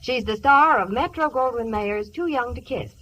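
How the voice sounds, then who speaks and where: A woman's voice: on the high side, moderate pace, sharp tone, rough recording. A woman with an American accent reading a scripted commercial as an announcer on a vintage 1950s radio broadcast.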